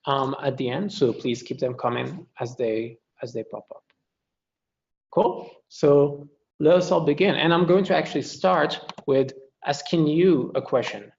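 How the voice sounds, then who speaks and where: A man from Morocco who lectures in computer science, giving a relaxed, friendly webinar presentation with frequent pauses and fillers. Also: a little high, creaky, lo-fi sound.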